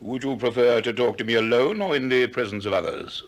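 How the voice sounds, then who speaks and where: An actor playing a celebrated, self-assured Greek teacher, formal and courteous, in a 1960s radio play. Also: male voice, medium pitch, a little fast, close to an everyday voice. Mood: confident, courteous.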